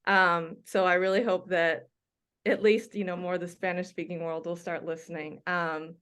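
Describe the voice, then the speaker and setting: A woman's voice: lowish voice, flat delivery, moderate pace, a bit bright, smooth. A middle-aged woman from Denmark, a doctor and researcher, talking through her background as an interview guest, with frequent ums and restarts. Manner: chatty, many ums and restarts. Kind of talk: conversational interview.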